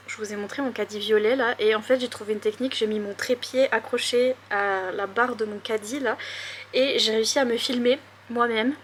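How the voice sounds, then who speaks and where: A woman's voice: on the high side, slight background noise. A woman chatting casually in a reading vlog at home, laughing often and stumbling through hesitations and restarts. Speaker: book vlogger. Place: room at home with windows open.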